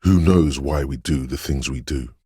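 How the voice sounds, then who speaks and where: A man voicing a brooding, dreamlike inner voice of intuition in a video game, speaking in a slow, hushed, portentous and theatrical way. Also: dry recording, studio quality. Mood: ominous, mysterious. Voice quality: very deep, husky, gravelly whisper-edged rumble. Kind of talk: dramatic video game voice acting. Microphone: close, dry studio microphone.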